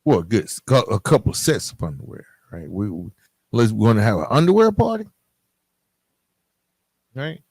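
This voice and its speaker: A man's voice: lowish voice, very animated, normal speed, pauses often, a little mellow, slightly rough, no room sound. A middle-aged man hosting a podcast, talking straight to listeners in a reflective, emphatic monologue, often checking in as he goes. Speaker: podcast host.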